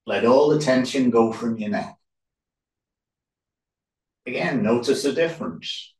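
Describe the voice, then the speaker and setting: A man leading a yoga relaxation as instructor, speaking calmly in short, unhurried phrases with pauses. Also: mid-range voice. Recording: roomy echo, distant microphone.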